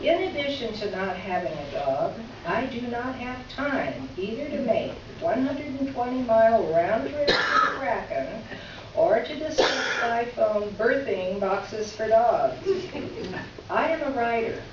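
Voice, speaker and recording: A woman plays an exasperated woman writer delivering a sarcastic complaint letter as readers theater. Her voice is on the high side and emphatic. She is heard off-mic in a very reverberant room, slightly muffled. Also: expressive, a little slow. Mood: indignant, insistent. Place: reverberant room, recorded from a distance. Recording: echoey room, distant mic, muffled, faint noise.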